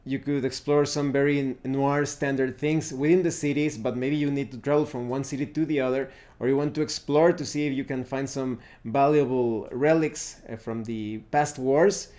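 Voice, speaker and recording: A man presenting an unscripted game review, explaining things deliberately and often pausing mid-sentence. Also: medium pitch, steady tone, warm tone. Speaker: tabletop RPG reviewer, presenter.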